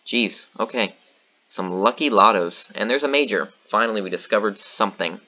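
Low-voiced man thinking aloud over a game. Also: normal speed, dull audio. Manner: thinking aloud, relaxed, many fillers. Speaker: game commentator. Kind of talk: casual gameplay commentary.

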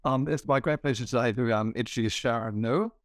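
An older man with a hoarse voice from a cough, hosting a business interview over a video call and talking in a chatty way with fillers. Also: medium pitch, fairly quick, no room sound, clean studio sound. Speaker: interview host, business coach.

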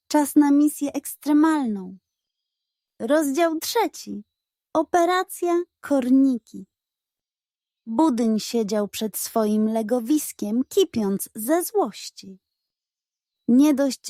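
A woman narrating a children's bedtime story in an expressive, scripted style. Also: fairly high pitch, no room sound, clean studio sound.

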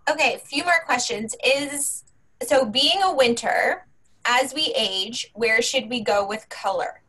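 Woman moderator reading out questions on a video call, slightly high voice, informal. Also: measured pace, fairly bright tone, husky, poor audio.

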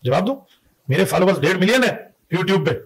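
A man's voice: sits high, animated, a little fast, breathy edge. A man in his thirties with a Pakistani accent, talking insistently and argumentatively on a podcast. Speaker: podcast guest, mufti. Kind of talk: podcast conversation. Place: indoor podcast studio. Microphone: close boom-arm podcast mic.

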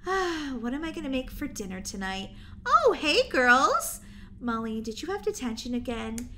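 A woman acts a worried but practical mother in a children's story. The voice is very bright, firm and motherly. A little background noise is present. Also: sits high, very animated, moderate pace, full character voice. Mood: concerned. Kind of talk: children's roleplay story acting.

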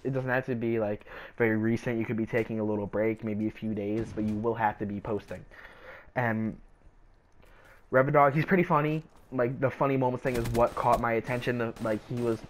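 A man's voice: mid-range voice, fairly even intonation, fairly quick. A young man with a sore, scratchy throat, rambling casually with lots of fillers while he plays a game. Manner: rambling, hesitant, filler-heavy. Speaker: gaming YouTuber. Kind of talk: casual gameplay commentary.

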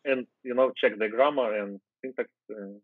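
A Ukrainian-native man in his thirties talks through code in a relaxed way, with fillers and restarts. He sits at home on a video call, and the sound is thin and phone-like. The audio is dry, with no room echo. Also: medium pitch, normal speed. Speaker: guest software developer. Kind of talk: casual screen-share coding chat.

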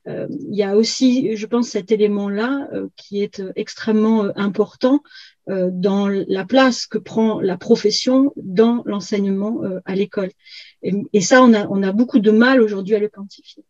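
A middle-aged woman speaking haltingly in an academic discussion, repeating words as she searches for phrasing. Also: mid-range voice, darkish tone, no room sound. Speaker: historian and book co-author being interviewed. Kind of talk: academic discussion on a video call. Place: indoors on a video call, virtual background. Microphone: computer video call. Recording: slightly muffled video-call audio.

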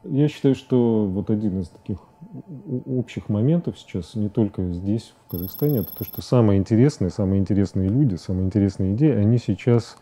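A man's voice: on the low side, dark tone, breathy edge. A middle-aged man giving a casual studio interview as a guest, searching for words as he talks. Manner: hesitant, halting, searching for words. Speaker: guest, animation director. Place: TV studio, seated at desk.

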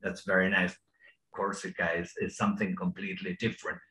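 An older man interviewing an artist over a video call, asking friendly, halting questions. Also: sits low, little pitch movement, pushed and bright. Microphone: video call webcam. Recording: echoey, distant mic.

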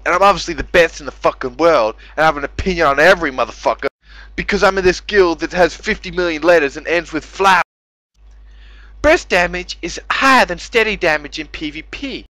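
A man's voice: fairly high pitch, sharp tone, no echo, poor audio. A man doing a sarcastic, exasperated, profane dramatic reading of forum posts, exaggerating as he goes. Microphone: close mic on gaming voice chat.

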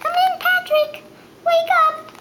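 A child voicing an excitable cartoon sponge in improvised toy play, bossy and giggly, with drawn-out calls. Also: exaggerated voice. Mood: eager. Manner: drawn-out, squeaky calling. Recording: very noisy home camera recording.

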